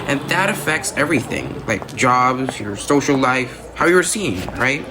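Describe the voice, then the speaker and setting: A man acts as an earnest, academically minded male student in a radio drama. He explains patiently in a conversational way, often tagging points with a check for agreement. His medium-pitched voice sits over clear ambient noise. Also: lively intonation, a little slow, natural voice. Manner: explanatory, checks with "right?" and "you know". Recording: noisy outdoor ambience.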